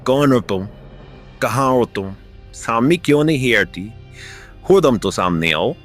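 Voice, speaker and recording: A man playing an earnest, expressive village farmer in a cartoon story. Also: mid-range voice, measured pace. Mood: frightened. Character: two earnest village farmer friends, adult men. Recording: music behind the voice.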